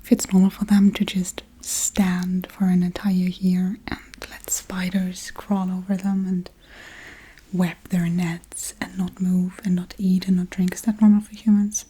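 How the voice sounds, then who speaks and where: A woman playing a forgetful, darkly playful witch in a soft-spoken, whispery ASMR role-play, murmuring gently and absent-mindedly. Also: on the low side, flat delivery, measured pace. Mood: amused, musing. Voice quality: breathy, whispery.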